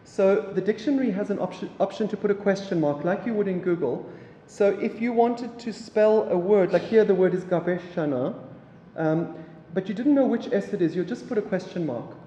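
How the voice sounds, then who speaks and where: A man from South Africa, an Afrikaans speaker, giving a relaxed public lecture with halting phrasing and many fillers. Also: sits high, dull audio, a little hiss or hum. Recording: echoey hall, mic from afar.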